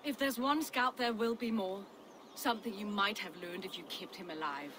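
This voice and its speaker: An actress playing a firm, guarded Viking noblewoman in a video game, direct and measured in her speech. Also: mid-range voice, fairly bright tone, a little hiss or hum, natural voice. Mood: reproving. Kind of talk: dramatic video game acting.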